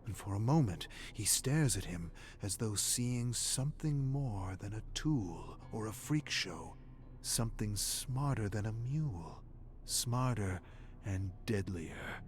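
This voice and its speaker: A man narrating a dark horror story in a dramatic, scripted style, with a measured, grave and brooding delivery. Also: lowish voice, animated, slight background noise.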